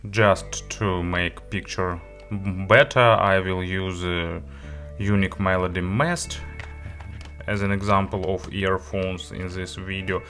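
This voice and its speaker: A man reviewing a product in an unscripted walkthrough, speaking haltingly and stumbling over words, with music playing behind him. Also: deep voice, steady tone, fairly bright tone. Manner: halting, hesitant delivery with stumbles.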